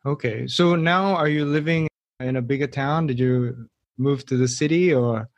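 A man's voice: mid-range voice, normal speed, no echo, muffled recording. A man hosting a podcast interview, friendly and often stopping and restarting with fillers. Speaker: podcast host.

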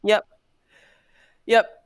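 A woman's voice: very slow, no room sound. A young woman co-hosting a podcast in casual chat, blunt and swearing freely as she tells stories. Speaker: podcast co-host.

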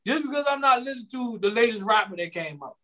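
A man in his late twenties holds forth on a podcast in a relaxed, slangy ramble. He restarts his sentences and circles back over the same points. His voice sits high for a man. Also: fast talker. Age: 20s. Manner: rambling, repeats himself, slangy. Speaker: podcast co-host. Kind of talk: casual podcast chat.

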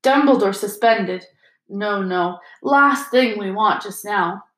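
A woman's voice: mid-range voice, takes it slowly, fairly bright tone. A woman plays a nervous male official from a storybook, flustered and hesitant, protesting in alarm. The room around the voice is echoey. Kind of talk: storybook character voice.

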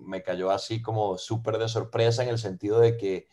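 Man in his thirties, costa rican accent, casual podcast guest, lowish voice, easygoing filler-heavy chat. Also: normal speed. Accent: Costa Rican Spanish. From Venezuela. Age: 30s. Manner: relaxed, fills with "ma" and "eh".

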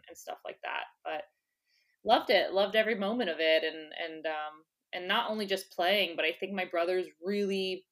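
Low-voiced woman, relaxed chatty interview guest who laughs easily. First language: English. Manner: chatty, laughs often, many "like" fillers. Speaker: interview guest, former soccer player. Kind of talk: casual conversational interview.

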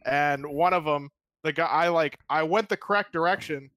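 A man's voice: fairly high pitch, normal speed, fairly bright tone, no echo. A man co-hosting a podcast, telling a rambling story and laughing often. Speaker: podcast co-host. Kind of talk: casual chat.

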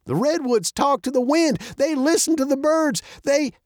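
A storybook narrator voicing an old male mountain wanderer, a grandfather given to emphatic, preachy spiels and quick to take offense. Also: sits high, sing-song, dry recording, clean studio sound, pitched above the performer's own voice. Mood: indignant, preachy.

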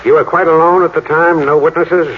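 An actor playing a polite, matter-of-fact American state police sergeant in an old-time radio drama. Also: male voice, a little high, flat delivery, brisk, close to an everyday voice. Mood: calm, official. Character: businesslike, courteous male state police sergeant. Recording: muffled vintage radio with faint noise.

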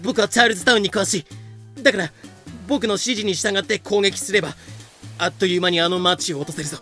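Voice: medium pitch, quick pace, fairly bright tone, faint music behind, close to an everyday voice. A performer playing a flustered, scheming town politician in an audio drama, earnest and dramatic as they bargain. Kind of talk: fan-made audio drama acting.

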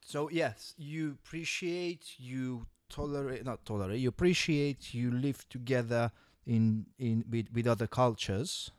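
Man stammering into rushed points. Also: medium pitch, dry recording, clean studio sound. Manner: stammers on starts, then rushes through long points. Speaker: podcast co-host. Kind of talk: casual chat.

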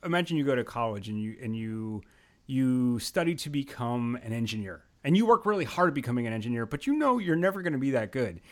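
A man's voice: medium pitch, quick pace. A man hosting a casual podcast, joking and laughing easily as he chats with his guest. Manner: joking, laughs often, expressive. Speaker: podcast host.

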